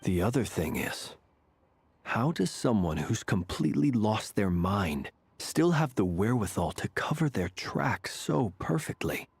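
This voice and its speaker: An actor playing a serious, composed young warrior in an anime game, measured and analytical. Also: male voice, on the low side, big pitch swings, creaky, husky, dry recording, full character voice. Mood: grave, concerned.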